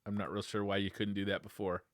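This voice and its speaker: A man's voice: on the low side, flat delivery, fast, dry recording. A man co-hosting a podcast, chatting casually and enthusiastically about video game news in informal, slangy language. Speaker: podcast co-host.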